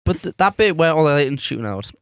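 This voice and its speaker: A man's voice: fairly high pitch, sing-song, fast talker, clean tone, no room sound. Man chatting casually, dry podcast sound. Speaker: podcast panelist discussing films. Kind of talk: casual chat.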